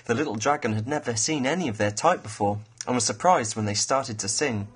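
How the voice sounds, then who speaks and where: A man's voice: medium pitch, fairly quick, husky. A man, an author reading his children's fairy tale as a storyteller.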